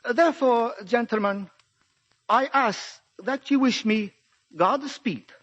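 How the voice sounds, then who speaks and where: A Japanese diplomat in a 1940s radio play. The voice is strikingly high and moves very slowly, with smooth ceremony and solemn formality. The old broadcast sounds dull and muffled. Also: male voice, fairly bright tone, slight background noise, heavily acted. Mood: solemn, courtly. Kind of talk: 1940s radio drama acting, formal speech.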